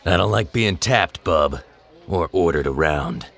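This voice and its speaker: A man playing a gruff, short-tempered mutant tough guy with a growling, slangy, confrontational delivery in an audio drama. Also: low voice, expressive, measured pace, a bit bright, full character voice. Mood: menacing.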